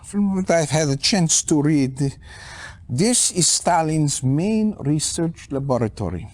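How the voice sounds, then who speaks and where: An elderly scientist in a game cutscene. His pitch runs fairly high and swoops widely, while his delivery is slow and halting, distracted yet easily excited. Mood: fascinated. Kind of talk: acted video game cutscene.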